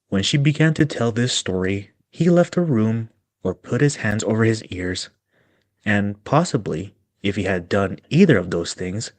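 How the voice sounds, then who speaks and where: Low-voiced man reading a story slowly. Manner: deliberate phrasing with pauses.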